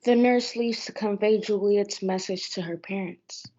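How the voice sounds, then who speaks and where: Young female narrator, mellow tone, medium pitch, scripted play reading, muffled recording. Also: measured pace.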